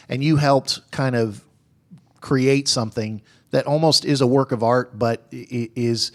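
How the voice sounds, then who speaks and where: Man hosting a business radio show. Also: medium pitch. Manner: rambling questions with restarts. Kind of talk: radio interview.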